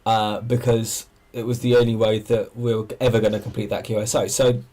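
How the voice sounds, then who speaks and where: A man's voice: on the low side, level tone, brisk, dark tone. A man hosting an amateur radio livestream, chatting casually and thinking aloud as he explains things and answers viewers.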